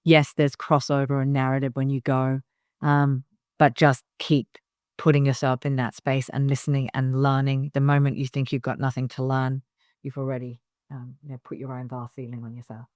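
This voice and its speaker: Emphatic woman with a smooth, dry voice. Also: very deep voice, little pitch movement, moderate pace, a little mellow, no room sound. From Hong Kong. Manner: direct, emphatic advice with many fillers and restarts. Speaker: business founder, guest. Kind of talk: casual interview talk.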